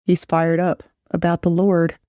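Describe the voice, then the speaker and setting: A woman's voice: on the low side, monotone, a little slow, dark tone, no echo, highs cut off. A woman co-hosting a podcast, chatting casually and jokingly with playful, agreeable reactions. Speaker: podcast co-host.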